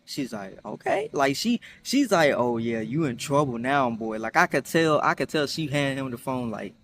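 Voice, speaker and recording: A young man chatting casually in a storytime vlog, rambling with many fillers and restarts, acting out quotes in higher voices. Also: very animated, moderate pace, a bit bright, a little hiss or hum. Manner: acts out quotes with high voices. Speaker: vlogger.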